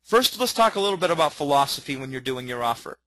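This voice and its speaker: A man teaching a webinar in an energetic way, often checking in with okay and all right. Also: on the high side, fast, no echo, rough recording. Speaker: webinar trainer.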